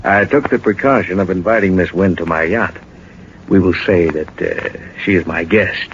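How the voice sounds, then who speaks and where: An actor playing a suave, menacing smuggler in a 1940s radio drama, speaking with sardonic, hesitant mock courtesy. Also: male voice, low voice, moderate pace, close to an everyday voice. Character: smug, menacing male smuggler villain, mockingly courteous. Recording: dull old radio recording, faint noise.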